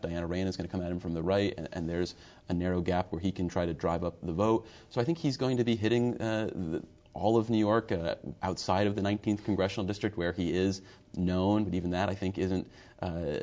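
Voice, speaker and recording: Man, political reporter, very low voice, analytical, slightly muffled sound. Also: darkish tone. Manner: thoughtful, analytical, some restarts.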